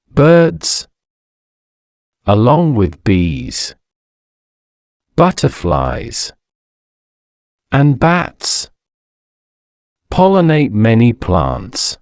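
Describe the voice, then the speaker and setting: A man reading scripted educational narration in a measured, deliberate way, enunciating each phrase with pauses. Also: medium pitch, darkish tone, clean tone, dry recording, clean studio sound. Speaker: narrator of an English-learning audio story.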